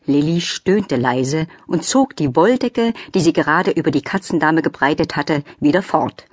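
Low, husky woman narrating. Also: lively intonation, moderate pace, a little mellow, no echo, clean studio sound. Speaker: audiobook narrator. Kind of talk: scripted children's story narration.

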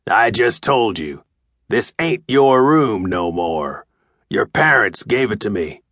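A man voicing a rude, gruff drifter in his thirties who talks in a slow, dismissive drawl. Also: on the low side, big pitch swings, a bit bright, no room sound, clean studio sound, full character voice. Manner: drawling, dismissive street talk.

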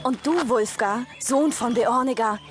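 A woman's voice: fairly high pitch, unhurried, music in the background, natural voice. An actress playing a brave, determined young woman adventurer in a German fantasy audio drama, earnest and resolute.

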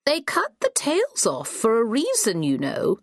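A woman voicing a confused elderly woman in her eighties who dotes on dogs, speaking slowly with high, questioning tones. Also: wildly swooping pitch, dry recording, clean studio sound, full character voice.

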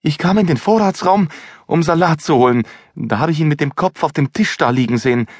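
A man voicing a frightened young female kitchen helper who stammers in excitement. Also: a little high, a little fast, no echo, studio quality, pitched above the performer's own voice. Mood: distraught.

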